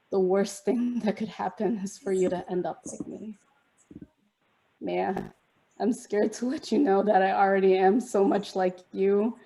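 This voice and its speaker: A young woman performing a spoken word poem in an intimate, measured delivery over a video call. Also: mid-range voice, mellow, rough recording.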